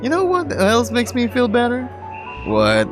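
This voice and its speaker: Man putting on a high-pitched, bubbly young guy, eager tour guide, bouncy, lively delivery. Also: a little slow, faint music behind, exaggerated voice. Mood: excited. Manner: bubbly and excitable.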